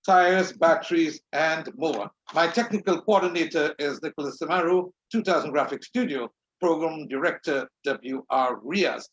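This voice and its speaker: A man's voice: on the high side, normal speed, few pauses, fairly bright tone. A man hosting an online show, speaking formally and courteously and reading sponsor messages. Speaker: host. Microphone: video call. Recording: echoey, dull online audio.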